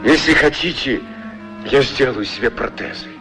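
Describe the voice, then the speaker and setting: An actor playing a lonely, tender, anxious war-veteran shoe shiner, speaking hesitantly and earnestly in a 1960s radio play. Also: male voice, mid-range voice, moderate pace, natural voice. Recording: old radio recording with music behind. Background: prominent music.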